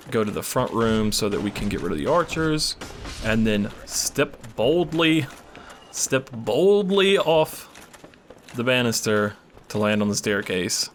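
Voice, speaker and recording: A man's voice: fairly low pitch, animated, slow pace, a little hiss or hum. A man casually commenting while he plays a video game, rambling and swearing, losing his voice and coughing. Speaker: gaming channel host. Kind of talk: casual let's play commentary.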